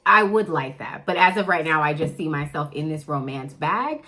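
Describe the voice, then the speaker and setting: A woman in her late thirties co-hosts a relaxed book chat. She talks playfully, and her pitch swings up and down a lot. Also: normal speed. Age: 30s. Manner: playful, expressive, laughing. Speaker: podcast co-host. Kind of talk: casual podcast chat.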